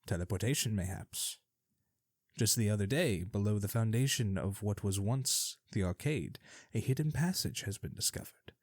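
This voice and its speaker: A man's voice: lowish voice, expressive, moderate pace, no echo. A man hosting a scripted fantasy radio show, delivering the news in a wry, theatrical way with dry asides.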